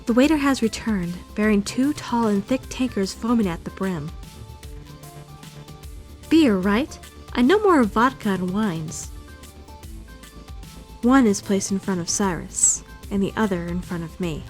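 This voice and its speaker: A woman's voice: medium pitch, lively intonation, a little slow, long pauses, a little mellow, lightly acted. A woman playing a prim, bookish young student who is easily indignant, in a fan-dubbed visual novel with formal, theatrical delivery. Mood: wry, wary. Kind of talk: first-person visual novel fan dub narration and dialogue. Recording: music behind the voice.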